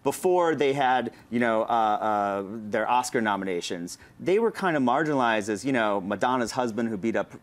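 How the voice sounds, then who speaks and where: A man arguing earnestly and a little haltingly as a guest in a casual film discussion. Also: medium pitch, lively intonation. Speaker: panel guest, film writer.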